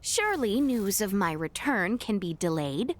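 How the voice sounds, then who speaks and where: A woman playing a poised, determined young princess in a video game, speaking in formal, courtly, measured diction. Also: medium pitch, sing-song. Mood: composed, firm. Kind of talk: scripted video game acting.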